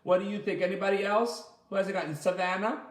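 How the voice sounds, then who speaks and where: Male children's coach, high-pitched, encouraging and simple in his explanations, recorded in an echoey room. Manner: encouraging, praising, explains slowly and simply with repetition. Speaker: martial arts coach leading children's story time. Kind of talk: interactive children's lesson, chatty.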